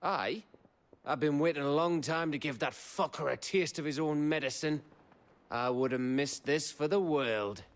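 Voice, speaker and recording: A blunt, higher-voiced fighter. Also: male voice, unhurried. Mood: eager, vengeful. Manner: blunt, earthy. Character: gruff, vengeful male fighter, loyal comrade. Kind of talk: dramatic game dialogue.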